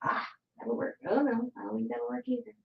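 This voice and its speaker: A woman's voice: a little high, level tone, fairly quick, creaky, dull audio, background noise. A woman hosting an art tutorial, chatting casually in a rambling way and muttering to herself as she works. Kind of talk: casual chat while painting.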